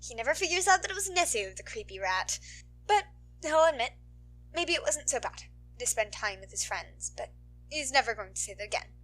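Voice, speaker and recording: A woman's voice: fairly high pitch, lively intonation, fairly quick, a bit bright, low-quality recording. A woman podfic narrator who talks in an informal, playful way. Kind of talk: animated story narration and casual outro chat.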